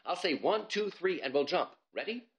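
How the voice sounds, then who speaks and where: A man's voice: fairly high pitch, very animated, voice pushed up. A man voicing a bossy, impatient and daring schoolgirl from a children's storybook, with goading, insistent delivery. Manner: insistent, goading, brisk commands.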